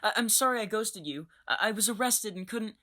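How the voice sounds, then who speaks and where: A woman's voice: medium pitch, fairly quick, sharp tone, natural voice. A woman playing a pleading young man who talks in a stammering, pleading way in an amateur audio drama.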